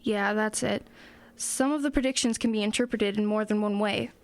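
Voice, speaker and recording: A woman's voice: mid-range voice, moderate pace, natural voice. A woman playing a practical, inquisitive small-town girl in an audio drama. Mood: puzzling it out.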